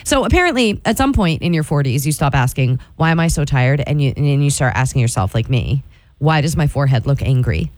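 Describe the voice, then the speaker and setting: A woman in her forties, a radio morning show host, reading a chatty, confiding ad in her own voice with playful, self-mocking swoops up in pitch, close to a studio microphone. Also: animated, a little fast, a little mellow, no room sound. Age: 40s.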